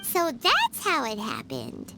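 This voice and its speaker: A woman voicing a small, naive, earnest childlike fairy companion in a video game, with a squeaky voice and questioning manner. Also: wildly swooping pitch, takes it slowly, gravelly, over loud music, exaggerated voice. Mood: puzzled. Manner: refers to self in third person, questioning.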